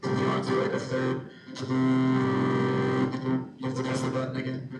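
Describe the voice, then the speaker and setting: A man with a mid-pitched voice gives a casual demonstration, a little away from the mic. The room is very echoey, and loud music plays behind him. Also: completely flat delivery, moderate pace, recorded from a distance. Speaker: presenter demonstrating own music project.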